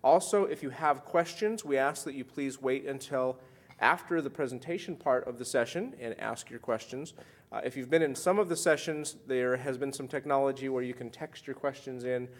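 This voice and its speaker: A man's voice: medium pitch, normal speed. A man moderating a conference panel, giving housekeeping notes and introducing speakers with some ums. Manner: orderly, housekeeping announcements with fillers. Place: conference session room.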